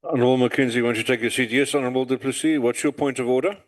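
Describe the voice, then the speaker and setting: Measured man chairing. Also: mid-range voice, steady tone. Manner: measured, procedural. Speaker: house chairperson. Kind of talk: chairing a parliamentary session.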